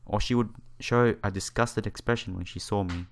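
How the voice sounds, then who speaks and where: A man reading visual novel text aloud as a let's player, adding his own asides in halting phrasing. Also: lowish voice, fairly even intonation, a little hiss or hum. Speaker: video game let's player. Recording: music behind the voice. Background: game music.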